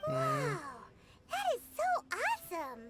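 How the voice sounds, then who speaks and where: Pint-sized game companion fairy girl. Childlike squeak, extremely high, bouncy intonation, excitable and anxious. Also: slow pace, heavily acted. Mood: amazed. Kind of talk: video game voice acting. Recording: loud music behind the voice.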